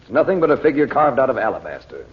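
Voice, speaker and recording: An actor playing a calm, authoritative magician hero, speaking firmly and reassuringly in an old radio drama. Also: male voice, medium pitch, fast, slightly rough. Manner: firm, reassuring. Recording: muffled old radio transcription.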